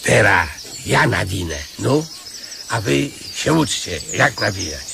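An actor playing a pushy, wily beggar on crutches in a radio play, arguing in rustic, halting speech with an eastern Polish borderland accent. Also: male voice, lowish voice, animated, creaky, background noise, full character voice. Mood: arguing, reasoning. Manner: argumentative, rustic, colloquial, halting repetitions.

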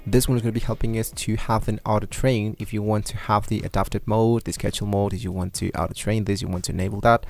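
Low-voiced man rambling through a tutorial. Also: little pitch movement, quick pace, creaky, hardly any pauses, darkish tone. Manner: loose, rambling, many fillers. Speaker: tutorial host. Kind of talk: screen-recorded software tutorial. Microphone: desktop microphone at a computer.

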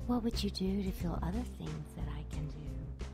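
A woman's voice: lowish voice, animated, normal speed, music in the background. A woman playing a seductive, predatory winged succubus in an audio drama, with a sultry, taunting manner.

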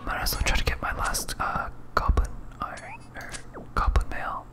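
A person whispering casual, rambling ASMR commentary while playing a game, thinking aloud. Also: normal speed. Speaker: host of a gaming series.

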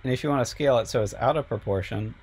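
A man teaching a software class over a screen recording, a little sick and losing his voice, explaining step by step with frequent ums. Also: medium pitch, racing, slightly rough. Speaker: instructor.

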